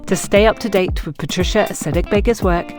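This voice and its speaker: A woman's voice: mid-range voice, a little fast, soft background music. A woman reading scripted fiction as an audiobook narrator.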